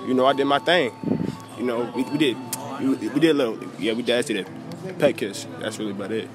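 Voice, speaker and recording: A man's voice: medium pitch, brisk, music in the background. A young man hesitantly telling a story in a mall, rambling with lots of fillers. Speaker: interviewee. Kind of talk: casual chat.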